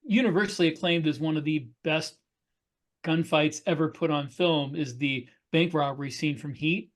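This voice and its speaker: A man with a fairly high-pitched voice talks casually as a podcast co-host. He lays out his opinions in an explanatory way, as part of a loose discussion. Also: normal speed.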